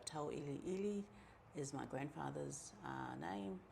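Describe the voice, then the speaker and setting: A middle-aged woman with a New Zealand accent tells her story in a low, gravelly voice. She talks in a relaxed, chatty way, full of ums and quoted asides. A faint hiss of noise sits under her voice. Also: a little slow. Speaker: community member telling her story. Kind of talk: casual personal storytelling.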